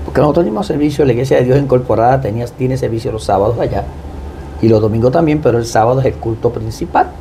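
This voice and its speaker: A man with a Puerto Rican Spanish accent, a pastor speaking as a guest in a devout, deliberate testimony style. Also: medium pitch, dark tone, a little hiss or hum. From Puerto Rico. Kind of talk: personal testimony and prayer.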